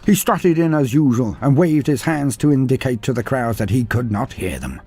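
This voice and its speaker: A man with a husky voice reading a fantasy tale in a dry, wry storytelling manner. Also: mid-range voice, expressive, moderate pace, dark tone, faint music behind. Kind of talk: scripted fantasy narration.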